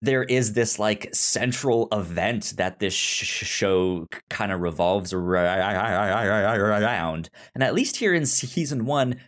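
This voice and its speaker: A man hosting a podcast in casual discussion, speaking haltingly and often stammering over words and sounds. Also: fairly low pitch. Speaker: podcast host.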